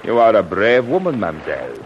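An actor playing a probing, suspicious and courteous ship passenger in a theatrical, formal 1930s radio serial style. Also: male voice, on the low side, huge pitch swings, fast talker, lo-fi sound. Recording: noisy band-limited old radio recording.

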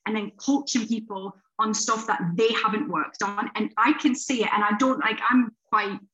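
A woman's voice: mid-range voice, steady tone, moderate pace, bright, forceful tone, muffled recording. A woman in her late thirties, a podcast guest, chatting casually and rambling through her thoughts with frequent restarts. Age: 30s. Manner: rambling, restarts often, laughs while talking. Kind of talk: casual conversation.

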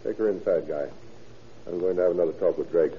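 A stern male police detective, played by an actor in a vintage radio crime drama. His voice is low and his delivery clipped, dry and sardonic. The sound is thin and phone-like, like an old broadcast. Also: fast talker, noisy background, close to an everyday voice. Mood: businesslike. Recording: tinny vintage radio recording.

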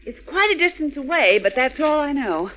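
A headstrong lady reporter in a 1940s radio serial. She is brisk and urgent, with a high, bright voice that moves around in lively swoops. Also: low-quality recording. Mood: hurried, urgent. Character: brisk, headstrong woman newspaper reporter, always in a hurry.

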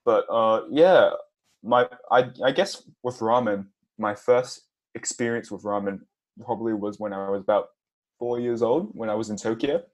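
A young man in his twenties, a podcast guest who speaks in a nervous, halting way with frequent stumbles. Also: on the low side. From Australia. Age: 20s. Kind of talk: casual interview.

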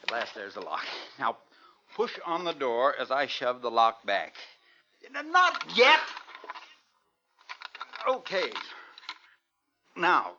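A man's voice: mid-range voice, wildly swooping pitch, slow pace, long pauses, heavy background noise. An actor playing a hot-tempered, resentful husband and young father in a 1950s radio drama. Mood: tense. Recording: dull vintage radio recording.

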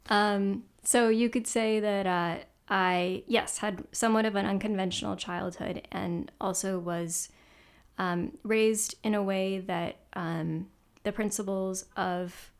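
A young adult woman, a guest journalist, sounding hoarse from a cold and chatting casually with plenty of ums. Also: medium pitch, steady tone, slow. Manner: chatty, self-deprecating, lots of ums. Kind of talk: casual interview chat.

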